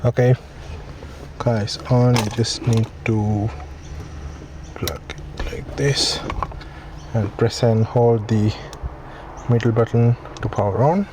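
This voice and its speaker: Guy doing a casual diy product walkthrough, slow and low key, kinda hesitant, noisy vehicle sound behind him. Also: steady tone. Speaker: vlogger demonstrating a product. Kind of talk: casual unboxing and install walkthrough. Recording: clearly noisy, vehicle ambience.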